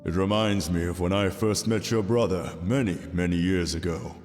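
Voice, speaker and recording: A man playing an ancient, benevolent king of the gods in an audio roleplay, speaking to the listener in a formal, measured, fatherly way. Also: deep voice, natural voice. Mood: gentle, fatherly. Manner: formal, stately, measured delivery. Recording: long reverberant hall. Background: soft background music.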